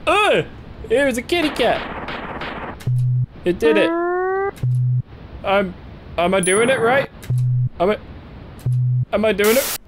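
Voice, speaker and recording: A young man with a high, animated voice plays a horror game from a small dark room, speaking into a headset. He talks slowly, reading the screen aloud, cracking jokes and yelping at jump scares, with music playing clearly underneath. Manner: jokey, reads on-screen text aloud, laughs and yelps at scares. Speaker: game streamer. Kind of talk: horror game let's play commentary. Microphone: headset mic.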